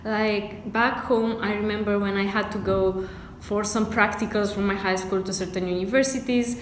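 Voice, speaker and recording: A woman's voice: mid-range voice, fairly even intonation, normal speed, slight background noise. Young woman from Romania. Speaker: PhD student. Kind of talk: casual interview. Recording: echoey room, faint ambience.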